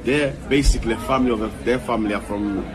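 A man's voice: medium pitch, fast, crowd noise, rough recording. A man with an Ivorian accent explaining in a casual talk, repeating himself and often checking "you know what I'm saying". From Ivory Coast. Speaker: guest designer. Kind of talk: casual conversation.

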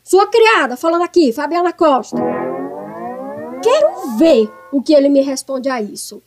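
A woman's voice: high voice, big pitch swings, moderate pace, over music. A woman with a Northeastern Brazilian accent playing an irascible, domineering, scolding mother-in-law in a comic radio soap. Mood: indignant, exasperated. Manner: scolding, exclamatory. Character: irascible, domineering middle-aged mother-in-law, loud and theatrical.

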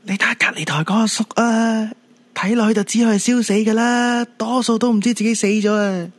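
A man's voice: high voice, steady tone, a little fast, no room sound, lightly acted. A man with a Hong Kong Cantonese accent playing a cheeky, upbeat young son in a radio drama, probing and matter-of-fact.

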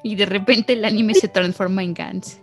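A woman with a Mexican Spanish accent on a casual podcast, cracking slangy, deadpan jokes. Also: medium pitch, moderate pace, faint music behind. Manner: joking, deadpan punchlines, slangy. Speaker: podcast co-host. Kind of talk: casual chat.

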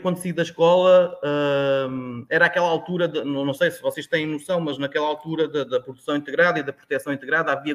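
A man's voice: on the high side, a bit bright. A man in his forties with a European Portuguese accent, a guest telling his story in a casual chat, rambling with many restarts. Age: 40s.

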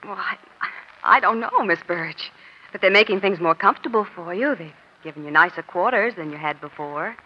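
A woman's voice: lowish voice, expressive, normal speed. A woman playing a savvy, chatty American newspaper reporter who feigns casual innocence in a 1940s radio crime drama. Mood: politely sympathetic. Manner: breezy, chatty, slyly casual. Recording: dull, lo-fi old radio recording.

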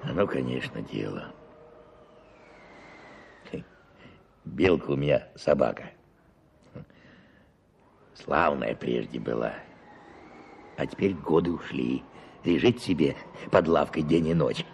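Very deep, gravelly old peasant. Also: male voice, expressive, a little slow, long pauses, heavily acted. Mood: weary, homely. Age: older. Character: weary old peasant forest watchman, lifelong bachelor, humble. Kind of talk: radio drama acting. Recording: clearly noisy radio play recording.

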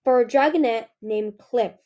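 A woman's voice: fairly high pitch, moderate pace, dark tone, lo-fi sound, lightly acted. A woman voicing a cold, vengeful female dragon queen who speaks in a hissing, commanding way.

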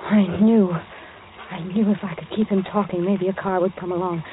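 An actress playing a frightened young waitress in an old-time radio suspense drama, speaking tensely and haltingly with dramatic narration. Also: female voice, fairly low pitch, fairly even intonation, narrow, tinny audio, background noise, lightly acted. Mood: tense dread. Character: frightened young waitress held by a killer, recounting it. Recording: thin band-limited old radio recording, music behind.

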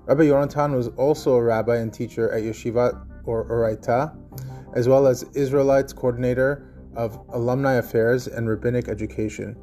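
A man hosting a podcast, reading a formal, measured introduction of his guest. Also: medium pitch, steady tone, warm tone. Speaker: podcast host. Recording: faint guitar music behind. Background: faint guitar music.

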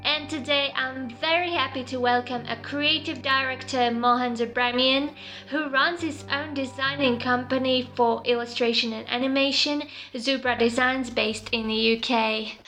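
A woman hosting an interview, reading a prepared introduction and asking questions in a measured, welcoming way. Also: high voice, fairly even intonation, pushed and bright, faint music behind. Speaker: podcast host.

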